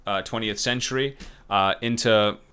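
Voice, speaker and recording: A man's voice: fairly low pitch, fairly even intonation, normal speed, pushed and bright, clear, resonant tone. A man giving a recorded lecture in a loose, conversational way, full of fillers, restarts and self-corrections. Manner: unsure about names, self-correcting. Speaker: professor.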